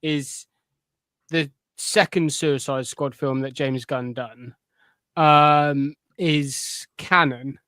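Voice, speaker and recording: A man's voice: on the high side, fairly even intonation, drawn-out words, bright, forceful tone, no room sound. A man talking casually as a podcast co-host. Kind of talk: casual pop culture podcast chat.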